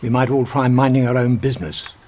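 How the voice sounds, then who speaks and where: An actor playing a kindly, logical old professor, speaking deliberately and formally in a radio drama. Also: male voice, mid-range voice, slight background noise, lightly acted. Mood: musing, wry. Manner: deliberate, formal, pedantic, gently exasperated.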